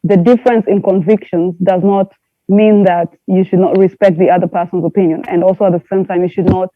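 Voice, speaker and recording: High-voiced man from Tanzania, muffled. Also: steady tone, a little fast, no room sound. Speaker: panelist. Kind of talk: casual discussion.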